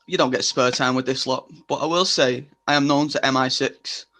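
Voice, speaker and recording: Actor as deadpan, muddled man, medium pitch. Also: normal speed, close to an everyday voice. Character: grumbling, muddled male studio worker Albert.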